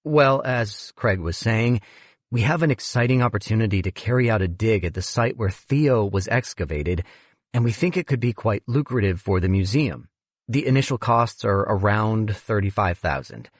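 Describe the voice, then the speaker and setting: A man's voice: lowish voice, animated, moderate pace, no echo, voice pushed up. A man voicing an earnest, frustrated male paleontologist who talks politely and pleads. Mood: earnest pleading.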